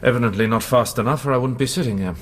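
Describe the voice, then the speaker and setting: An actor playing a proud German fighter pilot held prisoner, dry and defiant, in a radio drama. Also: male voice, mid-range voice, racing, slight background noise, lightly acted. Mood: dry defiance.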